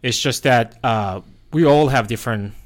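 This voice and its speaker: A man with a Korean accent and native Korean, telling personal stories casually in a halting, reflective way, searching for words. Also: medium pitch. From South Korea. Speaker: podcast host.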